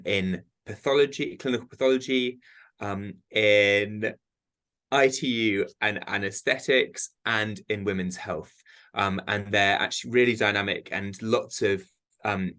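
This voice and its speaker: Male group organiser, casual update delivered with plenty of ums, slightly low voice. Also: pushed and bright. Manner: chatty, many ums. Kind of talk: meeting update. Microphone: computer mic on a video call.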